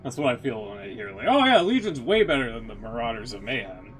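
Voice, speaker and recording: A man live streaming, giving casual, excitable and blunt reactions with some swearing. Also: medium pitch, quick pace, soft background music.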